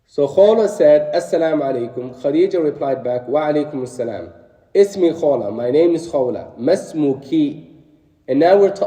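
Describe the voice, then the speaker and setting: Guy teaching a class, reads phrases slow then explains, kinda far off the mic, echoey room. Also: on the high side, mellow. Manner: stresses sounds carefully for learners. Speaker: Arabic teacher. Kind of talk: classroom lesson. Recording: roomy echo, distant from mic.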